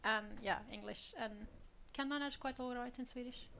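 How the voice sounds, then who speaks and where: A woman's voice: fairly high pitch, normal speed, creaky, sharp tone, a little hiss or hum. A young woman from Switzerland speaking candidly on a panel, laughing often between points. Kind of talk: panel discussion.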